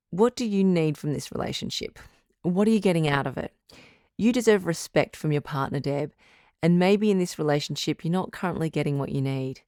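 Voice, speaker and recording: Woman as radio advice doctor in an audio drama, lowish voice, measured and firm, dry studio sound. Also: darkish tone, dry recording. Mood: firm, supportive. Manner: measured, probing, counselling. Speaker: radio call-in host.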